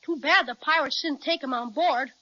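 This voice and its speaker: A woman's voice: sits high, normal speed, sharp tone. A woman playing an eager, wide-eyed young boy adventurer with slangy, old-fashioned delivery in a children's radio serial. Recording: dull old radio recording.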